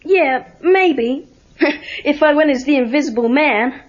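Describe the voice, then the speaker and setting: A woman's voice: high voice, slow. A woman playing a guarded, earnest teenage boy with an American accent and casual delivery in an audio story. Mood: glum. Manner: casual, defensive. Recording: dull cassette tape with music.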